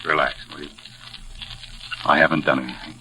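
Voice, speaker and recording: An actor playing a bitter, curt, tormented war veteran in a 1940s radio drama. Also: male voice, a bass voice, fairly even intonation, fairly quick, sharp tone, full character voice, low-quality recording. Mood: bitter angry. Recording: vintage radio recording with faint noise.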